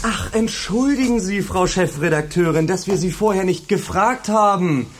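An actor playing a cocky, excitable teenage pupil in a radio play, slangy and emphatic. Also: male voice, high-pitched, moderate pace, a little hiss or hum, close to an everyday voice. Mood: scornful, eager. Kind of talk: German radio play acting.

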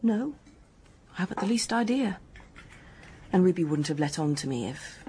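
An actress playing a young, guarded, matter-of-fact English dance hostess in a radio drama, speaking in a brisk, frank, colloquial way. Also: female voice, on the low side, animated, dark tone, slight background noise, natural voice. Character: hard-headed working-class hotel dance hostess, guarded, matter-of-fact.

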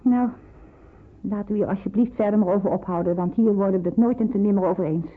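Actrice als afkeurende jonge onderduikster, omfloerste stem. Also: female voice, mid-range voice, fast talker, lightly acted. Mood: annoyed, firm. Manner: disapproving, dismissive, firm. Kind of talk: Dutch radio play acting. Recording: muffled narrowband old radio play.

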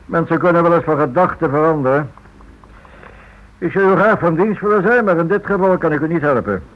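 An actor playing a formal, brusque lawyer in a Dutch radio play, speaking with clipped, dismissive courtesy. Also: male voice, a little high, animated, fairly quick, close to an everyday voice. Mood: dismissive, polite. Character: brusque, formal male lawyer refusing to help. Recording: muffled old radio play recording.

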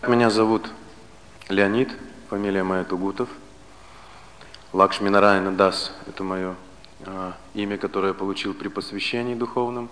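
A low-pitched man in his late thirties delivers a philosophy lecture. He is deliberate and didactic, breaking ideas into short phrases and repeating them. The recording has long hall reverb and clear background noise. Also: steady tone, a bit bright. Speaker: spiritual teacher and philosophy lecturer. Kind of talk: lecture on Vedic philosophy to a live audience.

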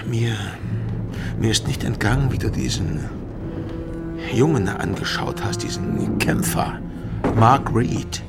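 A man playing a jealous, possessive pirate in a radio drama, gravelly and menacing, with deliberate delivery. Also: mid-range voice, expressive. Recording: distant from mic under loud music. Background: loud music.

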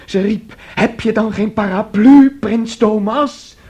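An actor playing an anxious, boyish young man, naive and boastful, with an agitated, halting delivery in a Dutch radio play. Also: high-pitched, darkish tone, husky, exaggerated voice. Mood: troubled, confiding. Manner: agitated, halting, repeating himself.

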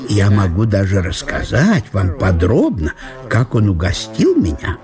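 A man's voice: fairly low pitch, wildly swooping pitch, measured pace, pitched below the performer's own voice. A self-absorbed provincial judge acted by a man rambles on with relish in a radio play. His intonation swings widely as he savors every digression. Faint music plays along. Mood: rambling, self-satisfied. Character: chatty, self-absorbed provincial male judge, fond of food. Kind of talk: theatrical radio monologue.

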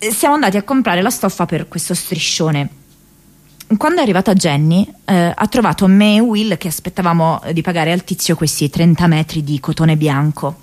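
An actress playing an earnest, haunted young American woman activist in an intimate radio drama monologue, speaking haltingly with hesitant pauses and repeated words. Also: fairly low pitch, a little mellow, close to an everyday voice. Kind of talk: intimate radio drama monologue, diary read as spoken memories.

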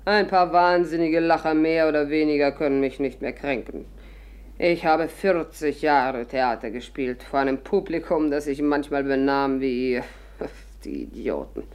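An actress playing an imperious, curious old stage diva with a declamatory, condescending manner in a 1950s radio play. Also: female voice, sits low, animated, measured pace, a little mellow, clear, resonant tone. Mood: imperious, confiding. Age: older.

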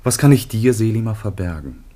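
An actor playing an earnest, devout and troubled grown son in a biblical radio drama, with measured, declamatory delivery. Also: male voice, fairly low pitch, expressive, dark tone. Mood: hesitant, earnest. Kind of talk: classic German radio drama acting.

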